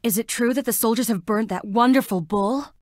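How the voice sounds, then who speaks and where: A woman's voice: fairly high pitch, a little fast, no echo, studio quality, lightly acted. An actress playing a frail, melancholy young woman in a plague-stricken town, delivering dramatic video game lines in a wistful, languid, theatrical way. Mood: uneasy, musing.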